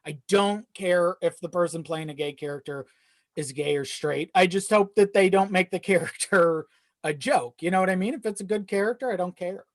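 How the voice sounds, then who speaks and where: A man's voice: high-pitched, moderate pace. A man speaking as a podcast guest in casual, reflective chat, thinking aloud as he goes. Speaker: podcast guest, audio drama creator.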